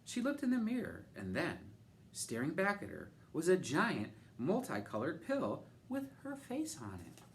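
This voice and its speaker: Very animated man reading a children's story. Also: fairly high pitch, measured pace, gravelly. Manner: expressive, dramatic emphasis. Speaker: storyteller reading a children's picture book aloud. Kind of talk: animated read-aloud narration. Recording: faint background noise.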